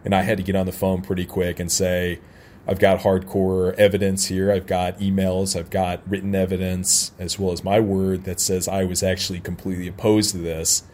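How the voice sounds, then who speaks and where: Low-voiced American man, earnest and candid. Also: level tone, normal speed, darkish tone, slight background noise. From St. Louis, United States. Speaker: interview guest, soccer radio play-by-play announcer. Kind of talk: casual interview, personal anecdotes. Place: radio booth at a stadium. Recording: clearly audible background ambience.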